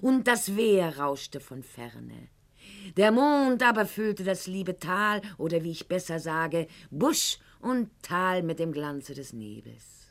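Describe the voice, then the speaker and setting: Radio play role: haughty, self-dramatising noblewoman of the classical age, lowish voice, very measured pace, mannered theatrical diction. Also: very animated, heavily acted. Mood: rapturous, reminiscing. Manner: mannered, articulate, declamatory, swinging to hushed confiding tones. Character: proud, haughty aristocratic lady of Goethe's era, sentimental and self-dramatising.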